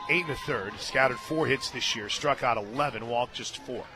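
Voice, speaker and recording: A man doing live radio play-by-play of softball, mixing excitable calls with chatty asides. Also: medium pitch, moderate pace. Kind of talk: live softball commentary mixed with casual chat. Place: stadium radio booth.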